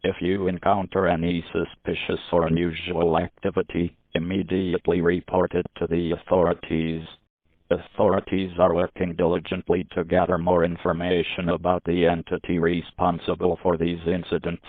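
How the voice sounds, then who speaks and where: A dull, band-limited recording of a man with a very low voice and a dry, close sound. He delivers a scripted emergency alert as an announcer, formal and measured throughout. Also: monotone, dry recording. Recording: muffled, band-limited lo-fi audio.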